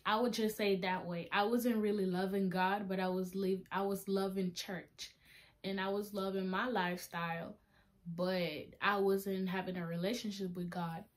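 A seventeen-year-old girl from Honduras with a Honduran Spanish accent, chatting to a webcam in her small bedroom and often restarting her sentences. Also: mid-range voice. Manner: chatty, restarting and laughing at herself. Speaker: vlogger sharing testimony. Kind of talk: casual talking-head vlog. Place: small bedroom with a flag on the wall. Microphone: webcam in front of her face.

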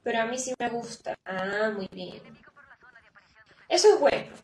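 A woman's voice: a little high, sing-song, a little slow, a little mellow, reverberant, a little hiss or hum. A young woman with a Peruvian Spanish accent, chatting casually with viewers and exclaiming at herself while she streams a game. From Peru. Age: young adult. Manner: chatty, reads chat aloud, exclaims at herself. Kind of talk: casual live-stream chat while gaming. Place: room indoors at a computer.

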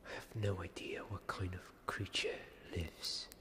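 A young man acting as a wary, anxious medieval knight in an ASMR roleplay, speaking in a hushed, halting way. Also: low voice, little pitch movement. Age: young adult. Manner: hushed, halting, breathy whispering.

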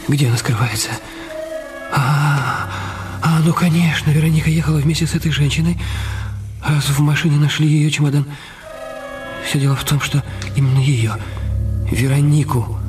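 An actor playing an anxious, guilt-ridden husband in a radio crime drama, speaking in agitated, halting monologue. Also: male voice, medium pitch, lightly acted. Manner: agitated, halting inner monologue with repeated words. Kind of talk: radio play acting.

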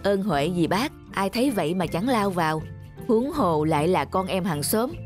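Big modest good-hearted cartoon neighbour, easygoing, faint music. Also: male voice, sits high, expressive, normal speed. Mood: modest, friendly. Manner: easygoing, self-effacing. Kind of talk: cartoon dubbing.

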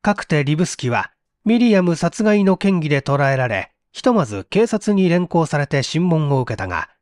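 A man's voice: a little high, sing-song, normal speed, a little mellow, no echo, clean studio sound. A man reading a mystery story aloud as dramatic scripted narration. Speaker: audiobook reader.

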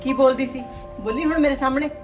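A woman's voice: high voice, fast talker, loud music behind the voice, close to an everyday voice. A woman playing a stern, scheming mother-in-law in a family drama, accusing and scornful.

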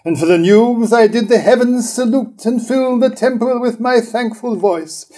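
A man playing a solemn, benevolent high priest in a formal reading of a verse drama. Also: moderate pace, warm tone. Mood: solemn, glad. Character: solemn, benevolent male high priest bearing good news. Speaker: actor in a staged reading.

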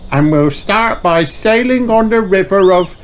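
Storybook rabbit hostess voiced by a man: cheery, welcoming, high and drawn-out, over clear noise. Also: expressive. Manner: stretched-out, announcing. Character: cheery female rabbit tour guide, welcoming and theatrical.

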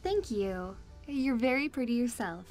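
A woman's voice: a little high, lively intonation, measured pace, darkish tone, faint music behind. A woman playing a friendly young princess with a sweet, gracious manner in an audio drama. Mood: warm, delighted.

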